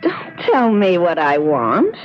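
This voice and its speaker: Muffled noisy old radio recording, imperious young rich girl, animated pitch, curt, coy. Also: slow, exaggerated voice. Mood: haughty. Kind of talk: 1970s radio drama acting. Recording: dull old radio broadcast, noisy background.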